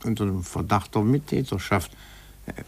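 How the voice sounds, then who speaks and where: An actor playing a methodical, cautious investigator in a 1950s German radio drama, with a gravelly, deliberate delivery. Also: male voice, on the low side, darkish tone. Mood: measured, reasoning. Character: methodical, sober, cautious male investigator weighing the evidence.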